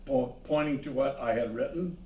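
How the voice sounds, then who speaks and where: A man reading a children's story aloud, pausing to add simple asides about the pictures. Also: mid-range voice, warm tone. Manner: pauses to point out the pictures. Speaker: storybook reader. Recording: echoey room, distant microphone.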